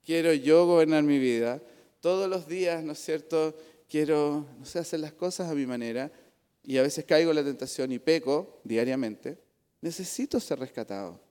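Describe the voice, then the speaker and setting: A middle-aged man with a Chilean accent delivers a sermon from a pulpit microphone. His voice sits a little high for a man. He talks earnestly but conversationally and drops in asides. The sound carries a long church reverb. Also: normal speed, warm tone. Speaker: pastor.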